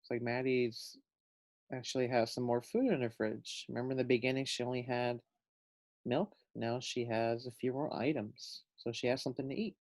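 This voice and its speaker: A man's voice: medium pitch, flat delivery, normal speed, a little mellow. A man reading a children's storybook aloud, adding simple explanatory asides for young listeners. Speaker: storybook reader.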